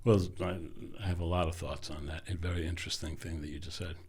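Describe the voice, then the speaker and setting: A man who runs a company, a podcast guest who talks in a measured, thoughtful way, often trailing off softly. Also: deep voice, little pitch movement. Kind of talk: casual interview conversation.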